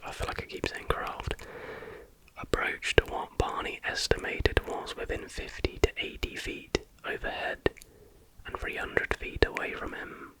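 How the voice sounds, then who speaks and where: A man whispering in a breathy, hushed voice, reading aloud informally in an ASMR style. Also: poor audio. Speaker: ASMR host. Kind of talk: whispered ASMR reading of stories with casual asides.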